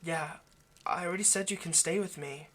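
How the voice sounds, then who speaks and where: A man's voice: a little high, normal speed, a little hiss or hum, lightly acted. A man playing an earnest, lovestruck vampire in an intimate roleplay monologue, speaking hesitantly and persuasively. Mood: solemn, cautioning. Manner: hesitant, stammering, gently persuasive. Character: earnest, lovestruck male vampire coaxing a partner.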